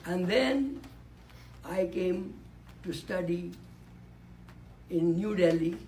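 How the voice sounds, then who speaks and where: Slow, deliberate elderly man. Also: high-pitched, a little mellow, a little hiss or hum. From Nepal. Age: 80s. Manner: deliberate, reflective, repeats key words for emphasis. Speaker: panelist. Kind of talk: speech at a panel discussion. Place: conference room. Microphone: handheld or podium microphone.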